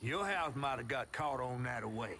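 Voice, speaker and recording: A man's voice: medium pitch, expressive, moderate pace, sharp tone, a little hiss or hum. A man voicing a folksy Southern creature guide and storyteller in a video game, with a theatrical drawl and an American Southern accent. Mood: wry, cautioning.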